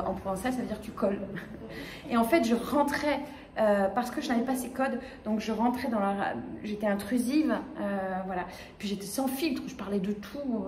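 A fairly high-voiced woman in her fifties talks to an audience in a small lecture room, animated and quick to restart her sentences. The room is echoey, with a faint hum of ambience behind her. She comes from the Paris region. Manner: animated, gesturing, many fillers and restarts. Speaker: speaker giving a talk. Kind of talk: lively conference talk.

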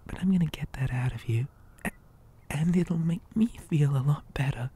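A woman playing a possessive living doll in an ASMR horror roleplay, gently coaxing with childlike menace in a breathy, hesitant whisper. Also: sits low, full character voice. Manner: soft, coaxing, slow and hesitant.

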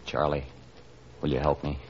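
Very deep-voiced, manipulative hunted killer. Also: male voice, little pitch movement, moderate pace. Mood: desperate pleading. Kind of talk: 1940s radio acting. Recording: dull, faintly noisy 1940s radio recording.

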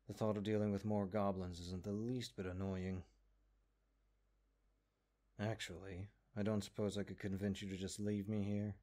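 A man's voice: sits low, darkish tone. A man voicing a haughty, exasperated magic book in a comedic audio roleplay, condescending and sarcastic. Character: exasperated, haughty talking cursed grimoire, a sentient magic book.

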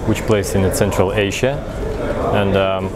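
Young man from Kyrgyzstan, low voice, hesitant, crowded hall. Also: level tone, a little slow, over a noisy crowd. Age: young adult. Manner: hesitant, many fillers. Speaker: film student. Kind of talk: interview answers. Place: event hall.

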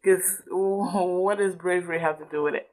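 Female read-aloud teacher, lower register, patient and explanatory, checks understanding often. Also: steady tone, moderate pace, a little mellow, poor audio. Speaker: adult reading a book with a child.

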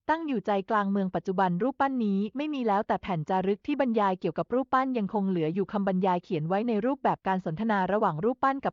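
A woman's voice: medium pitch, no room sound, dull audio. A woman reading a scripted tale as a storyteller.

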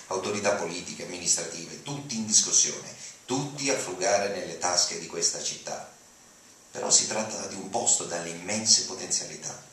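A man's voice: low voice, a bit bright. A man gives a declamatory, dramatic reading of prose. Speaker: reader of literary texts. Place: auditorium, at a lectern before an audience. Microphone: lectern mic, recorded from far camera. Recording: echoey hall, distant mic, room noise.

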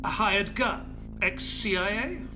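Earnest male sidekick and storyteller in audio play; slow, expressive, music underneath. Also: sits high. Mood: concerned, puzzled. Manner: measured, descriptive storytelling delivery. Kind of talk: audio drama narration and dialogue.

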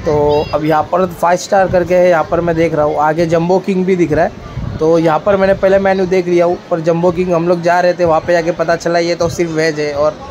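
A man's voice: fairly high pitch, little pitch movement, brisk. A young man narrating a casual vlog, chatting about traffic and the crowds while he shops. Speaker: vlogger. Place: car in traffic, then crowded shopping mall. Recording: loud crowd and street noise.

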